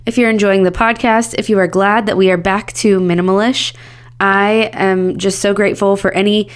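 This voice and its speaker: A woman's voice: medium pitch, clean tone. A woman in her thirties hosting a solo podcast, talking in an earnest, candid and upbeat way, her voice a bit scratchy from a cold. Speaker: podcast host.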